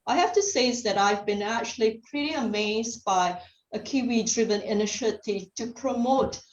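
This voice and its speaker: Singapore-raised woman submitting to a council over a call, halting and filler-heavy, echoey muffled line. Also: on the high side, distant mic. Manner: hesitant, many fillers and restarts. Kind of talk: oral submission, unscripted. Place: remote, speaking via video call. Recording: echoey, dull video-call audio.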